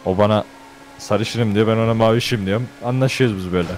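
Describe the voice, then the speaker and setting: A man's voice: lowish voice, quick pace, slight background noise. A man talking casually over in-game voice chat as a fellow player.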